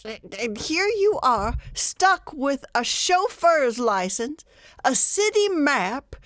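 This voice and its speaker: A woman's voice: sits high, very animated, slow, a bit bright, dry recording, heavily acted. An American woman playing an exasperated, long-suffering wife in old-time radio comedy style, chiding her husband dryly. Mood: scolding. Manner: dry, chiding.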